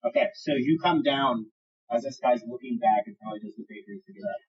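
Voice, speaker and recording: A man improvising narration as game master of a tabletop fantasy game, describing the action in halting, vivid detail. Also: medium pitch, big pitch swings, husky, muffled recording.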